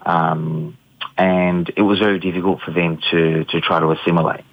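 A man's voice: low voice, flat delivery, normal speed, vocal fry, dull audio. A middle-aged man from Australia, an artist giving an interview, speaking reflectively with many ums and restarts. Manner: hesitant, many fillers and restarts.

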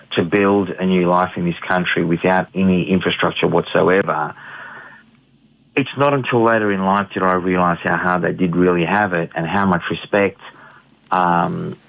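A middle-aged man with a very low, gravelly voice answers interview questions thoughtfully, pausing often with ums and restarting his sentences. He is from Australia. The sound is dull and muffled, like a radio broadcast. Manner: hesitant, many fillers and restarts. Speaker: interview guest, artist.

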